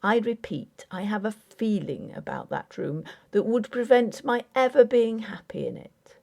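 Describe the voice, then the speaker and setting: A woman's voice: mid-range voice, lively intonation, measured pace, gravelly, a little mellow. A woman voicing an earnest but impatient Victorian husband in an audiobook, formal, placating and patronizing. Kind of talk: dramatic audiobook character acting.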